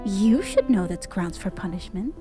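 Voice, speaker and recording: A woman's voice: mid-range voice, lively intonation, normal speed, over music, lightly acted. A woman playing a condescending director of an otherworldly bureaucracy in an audio drama, sweet and falsely cheerful with menace beneath. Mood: breezily commanding. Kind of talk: scripted audio drama acting.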